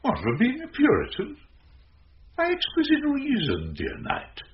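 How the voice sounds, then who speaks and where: An actor playing a boozy, scheming, jovial middle-aged knight with a British accent and gravelly voice in a Shakespearean radio comedy. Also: male voice, very high-pitched, huge pitch swings, a little slow, full character voice. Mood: mock-eager. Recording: muffled, noisy old radio broadcast.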